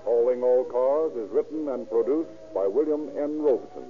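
An American man hosting and narrating a 1930s radio program in formal, measured scripted announcements. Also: mid-range voice, over music. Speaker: narrator and host. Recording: tinny muffled 1930s radio recording.

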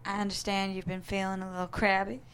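A woman playing a kind but firm Southern wife and mother of thirty in a screenplay table read, speaking gently and soothingly with a Southern accent. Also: mid-range voice, level tone, quick pace, close to an everyday voice. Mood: tender, soothing. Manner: gentle, soothing, clear in purpose.

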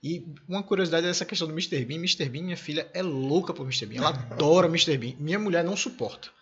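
A man's voice: on the high side, fast, a bit bright, dull audio. A man in his thirties from Brazil hosting a casual conversation, telling enthusiastic anecdotes about his family.

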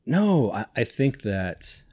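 A man's voice: medium pitch, big pitch swings, takes it slowly, darkish tone, breathy edge. A middle-aged man hosting a podcast, chatting casually and rambling with restarts and enthusiasm. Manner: rambling, self-correcting, enthusiastic. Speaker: podcast host. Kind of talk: casual chat.

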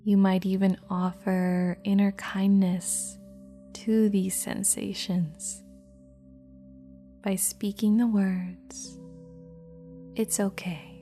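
Female meditation guide, slow, mellow, half-whispered, even delivery over faint music. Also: mid-range voice.

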